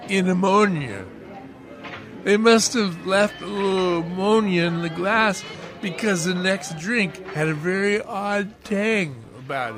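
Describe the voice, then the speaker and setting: A man acts out a drunken, long-winded sidekick from an old radio detective play. His voice sits a little high and moves slowly. He rambles with wry, theatrical philosophizing. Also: a bit bright. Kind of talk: old-time radio detective drama acting.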